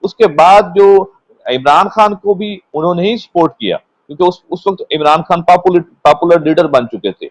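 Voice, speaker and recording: A man with a Pakistani accent speaking as a guest commentator, explaining politics in a measured, unscripted way and sometimes repeating words. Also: sits high, animated, no echo, poor audio. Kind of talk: unscripted political analysis in a panel discussion.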